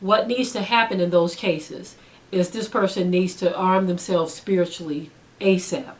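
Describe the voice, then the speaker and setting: Woman, somewhat low pitch, earnest spiritual teaching, informal delivery, deliberate short phrases with pauses. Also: fairly even intonation. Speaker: religious teacher.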